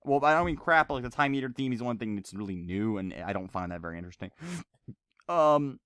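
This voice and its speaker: A man's voice: medium pitch, lively intonation, quick pace, a bit bright, clear, resonant tone, no room sound, clean studio sound. A man giving an unscripted, ranting video game review, stammering and repeating himself as he rambles along.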